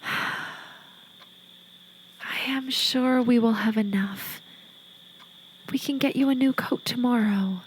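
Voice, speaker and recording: A woman playing a gentle, discontented young wife in a period audio drama, speaking softly and earnestly, as if confessing. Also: fairly high pitch, slow pace, pauses often, slight background noise, rough recording. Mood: remorseful. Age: young adult.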